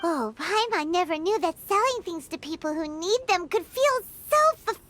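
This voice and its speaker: A woman voicing a tiny, bubbly childlike fairy companion in a video game, with a squeaky voice. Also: expressive, normal speed, heavy background noise. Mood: delighted. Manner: bubbly, refers to herself in third person. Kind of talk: animated video game acting.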